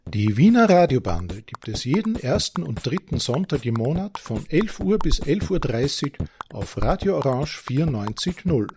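A man's voice: mid-range voice, big pitch swings, normal speed, noisy background. A man reading a scripted radio programme announcement.

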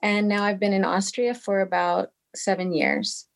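A woman's voice: mid-range voice, flat delivery, moderate pace, creaky. A woman from the United States, a guest, introducing herself casually and hesitantly. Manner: hesitant, with many fillers.

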